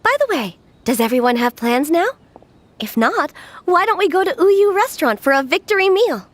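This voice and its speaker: A woman's voice: extremely high pitch, lively intonation, moderate pace, a little hiss or hum. A woman playing an enthusiastic, friendly young woman in a video game, speaking expressively.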